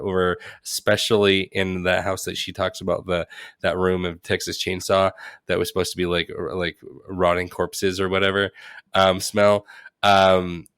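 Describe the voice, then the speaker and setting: A man with a fairly low voice chats as a podcast co-host. His delivery is casual and halting, recorded close and dry. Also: steady tone, fairly bright tone, clean tone, no echo.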